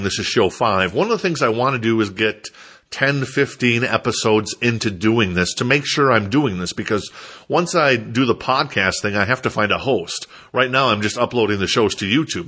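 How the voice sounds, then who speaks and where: Bright-voiced, low-pitched Midwestern man, congested. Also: husky. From Minnesota, United States. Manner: rambling, self-deprecating, conversational asides. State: stuffed up, congested. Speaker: podcast host. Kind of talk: casual solo podcast monologue.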